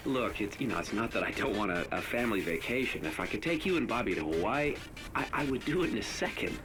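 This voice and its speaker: Man acting sheepish family man, animated pitch, hesitant, placating, naturalistic game acting, music in the background. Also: fast talker, lightly acted. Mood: sheepish, coaxing. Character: sheepish, coaxing working husband and father.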